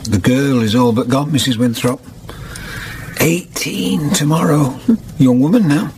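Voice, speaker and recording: An actor playing a solemn, wounded middle-aged former hermit, speaking gravely and reflectively in a period radio drama. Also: male voice, medium pitch, measured pace, darkish tone, a little hiss or hum, close to an everyday voice. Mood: wistful. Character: solemn, wounded older weaver, once a hermit.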